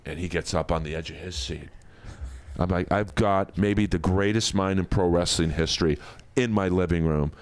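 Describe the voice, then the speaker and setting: A man's voice: low-pitched, normal speed. A man telling comic stories casually as a podcast guest, rambling and digressing like an avid wrestling fan.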